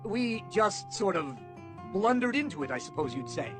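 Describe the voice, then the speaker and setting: A man's voice: sits high, sing-song, normal speed, over music, pitched above the performer's own voice. A man voicing a humble, awkward young knight, hesitant and deferential, in an audiobook. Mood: flustered. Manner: hesitant, plain-spoken, deferential.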